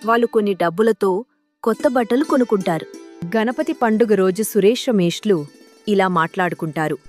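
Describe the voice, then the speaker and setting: A woman's voice: medium pitch, brisk, a little mellow. A woman narrating a scripted moral story. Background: background music.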